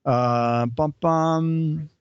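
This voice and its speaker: A chatty man hosts a casual live stream and banters with his guest as he reads off viewer questions. His voice sits a bit high for a man. The sound is dry and dull, like a low-fidelity stream. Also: steady tone, drawn-out words, clear, resonant tone, no room sound. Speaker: show host.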